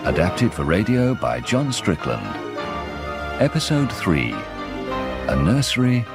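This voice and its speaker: A British male announcer reads radio drama credits in a formal, measured manner. His low voice has lively intonation, with prominent music playing behind it. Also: creaky.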